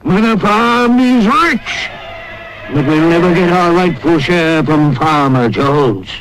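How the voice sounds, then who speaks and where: An actor playing an aged, dying boar who gives grave, declamatory speeches in a 1950s animated film. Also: male voice, a little high, faint music behind. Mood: impassioned, rousing. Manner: grave, declamatory, deliberate dramatic pauses. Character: aged dying boar, solemn revolutionary farm orator. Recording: noisy 1950s film soundtrack.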